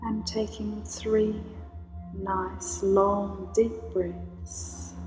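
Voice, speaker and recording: A woman leading a guided meditation in a slow, soothing, invocational way. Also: mid-range voice, little pitch movement. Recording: roomy reverb with soft music.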